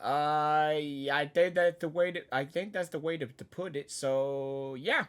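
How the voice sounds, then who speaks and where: A man giving casual gaming reactions in an excitable, repetitive, rambling manner. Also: a little high, unhurried, clean tone. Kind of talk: casual live game reaction commentary.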